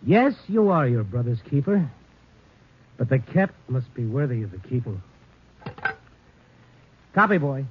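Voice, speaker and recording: Steady, world-weary reporter, muffled radio sound. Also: male voice, mid-range voice, a little slow, long pauses, noisy background. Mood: reflective. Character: steady, world-weary male big-city newspaper reporter. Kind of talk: 1950s radio drama acting and first-person narration. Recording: muffled old radio recording, faint music.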